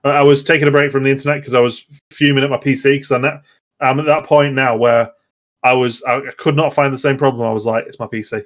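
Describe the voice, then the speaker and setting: A man's voice: medium pitch, flat delivery, fast talker. A man hosting a casual gaming podcast, talking in a rambling, hesitant way that often repeats words. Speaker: podcast host.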